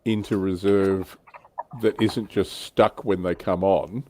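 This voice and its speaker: A man's voice: low-pitched, steady tone, takes it slowly, mellow. A man chatting casually as he recounts tabletop wargame battles, somewhat hesitant as he pieces his thoughts together.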